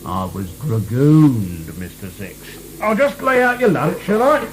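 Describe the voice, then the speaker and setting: An actor playing a deferential, evasive and stubbornly literal prison servant in a radio drama, with an apologetic, obliging manner. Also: male voice, mid-range voice, big pitch swings, unhurried, a little hiss or hum.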